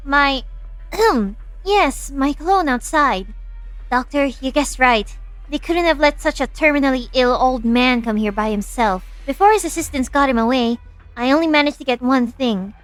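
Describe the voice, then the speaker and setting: A woman playing a sassy, anxious young lab director in an expressive, flippant fan-dub style. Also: sits high, normal speed, no room sound, soft background music, low-quality recording. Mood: flustered. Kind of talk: fan-dub game drama acting.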